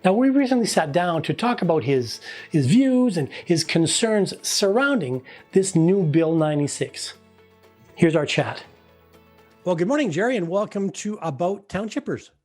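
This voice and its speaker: Man hosting a talk podcast, higher voice. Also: big pitch swings, moderate pace, a little mellow, faint music behind. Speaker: podcast host. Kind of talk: scripted intro then interview questions.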